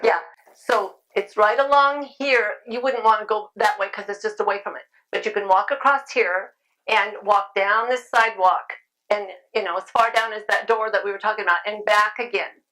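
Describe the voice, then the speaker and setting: A middle-aged woman speaking at a convention, chatty and loud-voiced, laughing often as she answers questions. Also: mid-range voice, moderate pace, rough recording. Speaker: convention speaker on sidewalk counseling. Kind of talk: informal talk with audience questions. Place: convention room.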